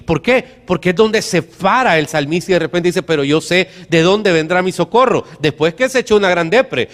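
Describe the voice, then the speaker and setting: A man's voice: high-pitched, fairly bright tone. A man from El Salvador with a Salvadoran accent preaches conversationally as a pastor, colloquial and emphatic, using anecdotes and rhetorical questions. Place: church hall during a service. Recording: reverberant hall sound.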